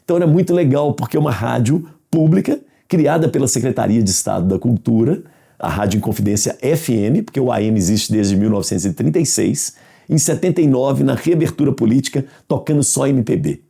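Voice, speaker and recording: A man's voice: mid-range voice, a little fast, vocal fry, warm tone, husky. A man with a Brazilian accent, explaining in an interview and repeating points for emphasis.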